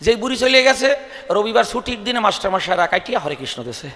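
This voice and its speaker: A man telling comic folk stories on stage in an animated style. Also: sits high, quick pace. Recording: echoey hall sound system.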